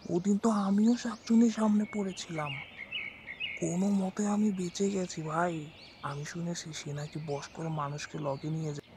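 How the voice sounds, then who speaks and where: An excitable village man, voiced by an actor chats in alarm in a cartoon, pitched a bit high, with clear birdsong and noise behind. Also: moderate pace.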